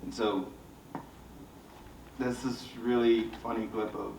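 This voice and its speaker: A man with a Bahamian accent and a lowish voice talks reflectively on an artists' panel. He tells personal stories to an audience and often tags statements with "right?" The mic is distant, leaving the room audible around him. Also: steady tone, slow pace, gravelly, a little mellow. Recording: roomy, distant mic, faint noise.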